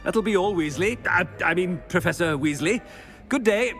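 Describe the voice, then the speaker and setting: Pompous, high-pitched flustered headmaster. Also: male voice, expressive, moderate pace, fairly bright tone, faint music behind. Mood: flustered, evasive. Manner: blustering, stammering, imperious. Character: pompous, flustered, middle-aged male wizard school headmaster. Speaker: school headmaster character. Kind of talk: theatrical video game acting.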